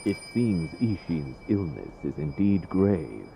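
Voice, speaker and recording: Grave warrior lookout for a game, super deep, moving pitch, noisy ambience. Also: male voice, very animated, takes it slowly, creaky, mellow, exaggerated voice. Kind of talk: video game cutscene acting.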